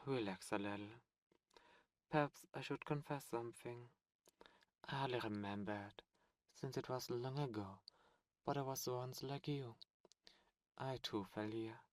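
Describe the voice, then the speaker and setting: A man voicing a seductive ancient demon prince, a fallen angel, speaking softly and slowly in an ASMR roleplay. He coaxes and tempts, close to the microphone. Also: mid-range voice, dry recording, lightly acted. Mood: tempting. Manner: soft, slow, coaxing and tempting.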